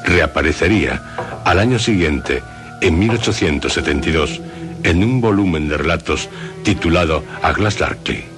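A man hosting a radio show with dramatic scripted narration, phrased deliberately and theatrically with suspenseful pauses. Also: a bass voice, animated. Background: music.

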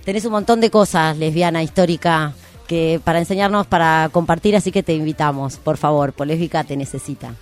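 A woman's voice: deep voice, brisk, soft background music. An Argentine woman hosting a casual live radio show, talking in a playful, informal way.

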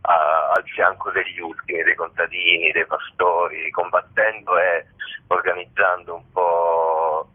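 Deep-voiced man on a muffled phone line. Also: flat delivery, a little slow, breathy edge. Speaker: theatre actor and writer, interview guest. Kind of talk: reflective spoken interview.

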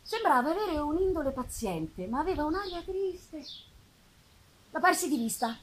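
A woman giving an expressive, dramatic literary reading with pauses and drawn-out phrases, performed live on stage. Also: high voice, a little hiss or hum. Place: live theatre festival performance. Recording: live music behind the voice. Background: live musical accompaniment.

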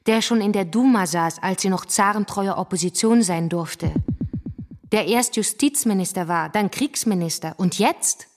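A woman's voice: mid-range voice, normal speed, soft background music, close to an everyday voice. An actress playing a scornful, argumentative revolutionary woman who lectures bitingly in a German radio drama. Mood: sardonic. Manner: didactic, biting.

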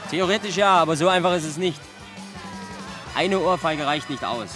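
An actor playing a condescending, pedantic young know-it-all who lectures in a German radio play. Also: male voice, a little high, moderate pace, close to an everyday voice. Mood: lecturing. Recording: music behind the voice.

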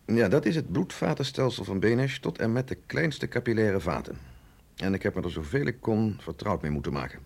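Gezaghebbende dokter met krakende stem. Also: male voice, fairly low pitch, expressive, gravelly, a little mellow. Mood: grave. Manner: measured, explanatory, authoritative. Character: authoritative male doctor at a secret research institute, patient and grave. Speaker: doctor. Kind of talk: radio drama acting.

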